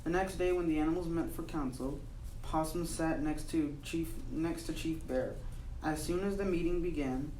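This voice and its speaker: A man's voice: fairly high pitch, normal speed, hardly any pauses, mellow. A young man, a student, reading a folk tale aloud in an earnest way, with some restarts. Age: young adult. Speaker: student narrator. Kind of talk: read-aloud storytelling. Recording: echoey room, distant mic, faint hiss.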